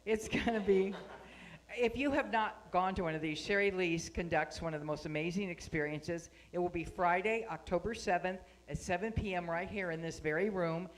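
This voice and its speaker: A woman's voice: lowish voice, moderate pace. A woman giving live spoken announcements to a congregation, speaking at a microphone in a meeting room.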